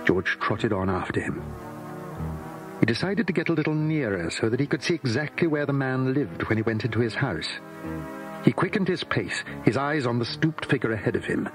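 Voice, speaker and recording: A man's voice: medium pitch, expressive, moderate pace, over music. A man narrating a scripted children's story.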